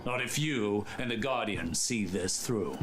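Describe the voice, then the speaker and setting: A man playing a shrewd, guarded mentor in a game cutscene, measured and cryptic in delivery. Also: fairly low pitch, lively intonation, background noise. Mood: calm, guarded. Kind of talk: scripted game cutscene acting.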